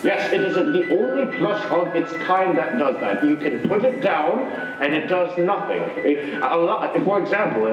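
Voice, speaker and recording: Rambling, deadpan co-host with an animated voice, recorded from far back in a big hall with music. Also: male voice, fairly high pitch, moderate pace, muffled recording. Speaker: convention show co-host. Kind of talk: comic stage banter. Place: large convention hall stage.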